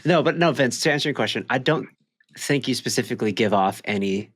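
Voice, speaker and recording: Mid-pitched man, very dry sound. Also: lively intonation, fast, a bit bright, no room sound. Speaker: podcast co-host. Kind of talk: casual chat.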